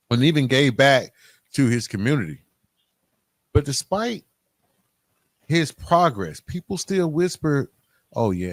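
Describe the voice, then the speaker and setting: A man hosting a podcast, telling a motivational story emphatically with dramatic pauses. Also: mid-range voice, no echo, clean studio sound. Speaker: podcast host.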